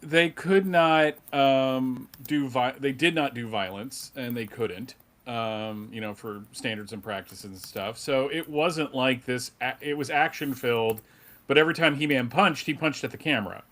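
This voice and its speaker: A middle-aged man gives casual commentary on a fan panel. His delivery halts and rambles, with a wry edge, at an ordinary male pitch. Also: unhurried, a bit bright.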